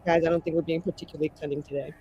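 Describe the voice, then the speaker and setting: A woman's voice: sits low, level tone, racing, dark tone, highs cut off, a little hiss or hum. A woman playing a tabletop roleplaying game, talking casually and informally with the group.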